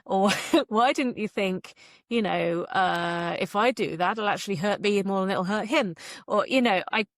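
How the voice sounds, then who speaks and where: A woman's voice: mid-range voice, unhurried, a bit bright, no echo, studio quality. A middle-aged woman being interviewed as an author, chatting casually with self-deprecating asides. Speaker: author being interviewed, former magazine journalist.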